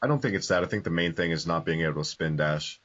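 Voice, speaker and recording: A man co-hosting a casual video game podcast, quick and opinionated, asking pointed questions. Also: sits low, a bit bright. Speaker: podcast co-host. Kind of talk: casual chat about video games. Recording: dull lo-fi podcast audio.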